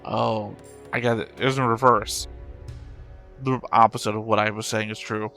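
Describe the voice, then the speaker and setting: A man casually commenting on an animated show as he watches, with dry, nitpicking asides. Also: fairly low pitch, normal speed, faint music behind. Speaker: reaction show host. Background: soundtrack of the show being watched.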